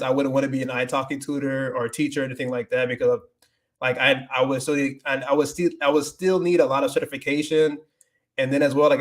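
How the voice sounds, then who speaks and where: A young man hosting a casual livestream, answering viewer questions in a loose, rambling way full of restarts and filler words, slipping into Spanish with a Dominican accent. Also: medium pitch. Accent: Dominican Spanish accent when speaking Spanish.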